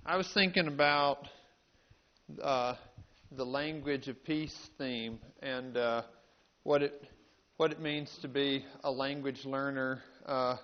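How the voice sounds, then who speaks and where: A man's voice: mid-range voice, fairly even intonation. Southern American man telling stories, dull recording. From rural North Carolina, United States. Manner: folksy, halting with repeated words. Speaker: invited panel speaker. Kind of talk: storytelling talk to an audience. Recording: dull, band-limited live talk recording.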